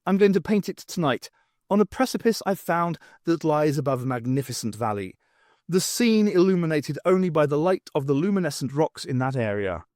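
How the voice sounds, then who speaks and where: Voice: medium pitch, expressive, a little fast, darkish tone, no room sound, studio quality, close to an everyday voice. A narrator voicing an earnest, self-important male painter with a grandiose manner in an audiobook. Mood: proud.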